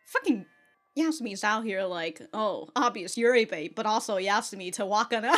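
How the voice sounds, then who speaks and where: A woman's voice: medium pitch, lively intonation, normal speed. A woman giving casual, sweary, giggly reaction commentary as she hosts a reaction video. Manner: giggly, often breaking into laughter.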